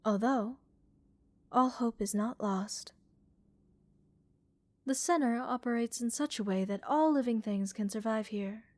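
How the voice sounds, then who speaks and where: A curious female alien scientist, played by a woman, studies her specimen in a fairly high voice, recorded close and dry. She is calm and clinical, thoughtful and gently reassuring, in a relaxing ASMR roleplay. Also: a little slow, pauses often, no room sound, close to an everyday voice. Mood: thoughtful, measured.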